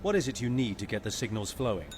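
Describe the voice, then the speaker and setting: A man's voice: lowish voice, very animated, fast talker, a little hiss or hum. A man playing a sardonic, easygoing mercenary pilot in a space video game, with a wry, casual manner. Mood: casual, probing.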